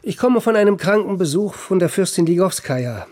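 An actor playing a cool, disapproving doctor with a formal, reserved manner in a radio play. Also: male voice, high-pitched, expressive, brisk, a little mellow. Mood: grave, distant. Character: cool, disapproving male doctor, guarded former friend. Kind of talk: German radio play acting.

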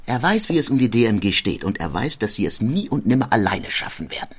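Determined inventor-businessman in radio docudrama; proud, measured, animated pitch, mid register, machinery noise behind. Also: husky. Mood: grave, insistent. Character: Gottlieb Daimler, proud, determined German inventor-entrepreneur. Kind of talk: scripted audio docudrama dialogue.

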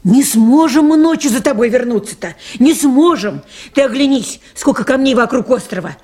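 A woman's voice: high-pitched, expressive, moderate pace, warm tone. An actress playing a motherly middle-aged boat mechanic in a radio play, worried and grumbling in a folksy way. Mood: anxious, scolding. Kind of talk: 1980s Soviet radio play acting.

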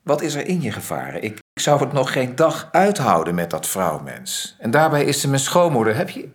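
A man's voice: mid-range voice, very animated, a little fast, close to an everyday voice. An actor in a radio play playing a flustered, grieving man who often stammers and hesitates. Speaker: Onno, widower-to-be. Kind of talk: radio drama acting.

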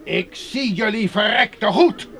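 An actor playing an angry, accusing agitator who rants coarsely in a radio drama. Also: male voice, high voice, lively intonation, slow, gravelly, pushed and bright, heavily acted. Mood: furious, accusing. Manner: ranting, accusing, coarse-mouthed. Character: angry sighted agitator shouting at the gate for the blind. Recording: noisy radio play recording.

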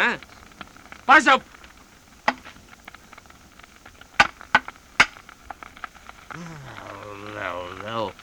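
A man acting in a Dutch radio play as a rough, jovial leader of a medieval vagrant band, bossy and halting. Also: on the high side, sharp tone, slight background noise, heavily acted, rough recording. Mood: boisterous, impressed. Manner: hearty, bossy, halting with interjections.

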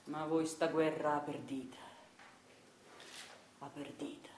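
A fierce washerwoman prophetess lost in delirium. The voice sinks low into a mournful Neapolitan chant, made for radio theatre. It sits in a very roomy sound with slight noise. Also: level tone, darkish tone. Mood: mournful trance. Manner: slow, incantatory lament.

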